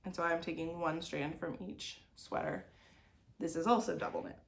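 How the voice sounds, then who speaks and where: A woman's voice: deep voice, fairly even intonation, moderate pace, a little hiss or hum. A woman in her thirties, sniffly from a cold, hosting a crafting video podcast from her sewing desk at home. She chats casually and meanders, with lots of "like" fillers. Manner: meandering, talks around things with many "like" fillers. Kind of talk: casual chat.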